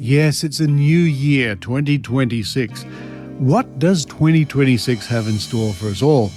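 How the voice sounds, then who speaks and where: A man's voice: medium pitch, unhurried, over music. An Australian man hosting a podcast, commenting conversationally and reading aloud with dry, skeptical asides. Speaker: podcast host.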